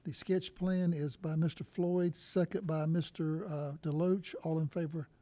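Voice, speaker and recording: Dark, soft-voiced man chairing a meeting. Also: on the high side, fairly even intonation, unhurried. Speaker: chair of a county board meeting. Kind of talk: formal meeting procedure with informal asides. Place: public meeting room. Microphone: meeting microphone.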